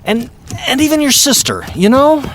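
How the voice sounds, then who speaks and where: A man's voice: very high-pitched, huge pitch swings, normal speed, a bit bright, a little hiss or hum, heavily acted. An actor playing a talkative, upbeat middle-aged father who is a lawyer, in an audio drama. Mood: encouraging. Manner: chatty, upbeat, soothing.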